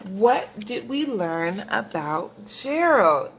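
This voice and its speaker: A woman preschool teacher reading a storybook aloud to young children, expressive and deliberate. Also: mid-range voice, slight background noise. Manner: expressive, deliberate, asks young listeners questions.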